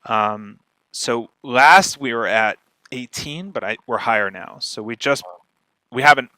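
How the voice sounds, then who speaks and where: Male podcast strategist for an informal Q&A: bright, punchy tone, emphatic delivery, very little room sound. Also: mid-range voice, a little slow, no room sound. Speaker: podcast growth strategist and guest speaker. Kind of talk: informal question-and-answer talk.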